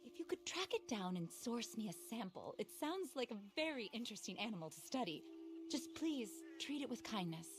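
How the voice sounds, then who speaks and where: A woman's voice: fairly high pitch, very animated, a little fast, music in the background. An actress playing an eccentric, easily flustered lady naturalist in a video game, speaking in a genteel, fervent, theatrical way. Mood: earnest. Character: earnest, flustered, eccentric lady naturalist who adores animals. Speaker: character in a video game cutscene. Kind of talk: dramatic game voice acting.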